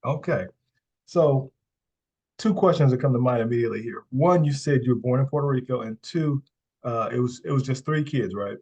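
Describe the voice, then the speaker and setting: A man's voice: medium pitch, fairly quick, warm tone, husky, rough recording. A man hosting an interview podcast, upbeat in a scripted intro, then asking chatty questions with repeated words. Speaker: podcast host.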